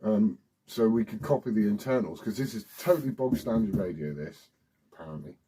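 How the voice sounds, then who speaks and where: A British man with a husky voice rambling informally and swearing as he hosts a casual hobby vlog. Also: fairly low pitch, a little fast, mellow, a little hiss or hum, low-quality recording. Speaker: CB radio hobbyist video host. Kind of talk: casual rambling chat to camera.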